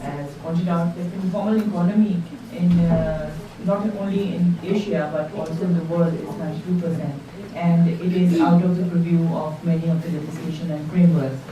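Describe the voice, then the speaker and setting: A woman's voice: on the low side, steady tone, normal speed, hardly any pauses, a crowd in the background. A woman from Pakistan presenting her paper at a workshop, explaining steadily with some fillers. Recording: distant dull mic in an echoey room with background noise.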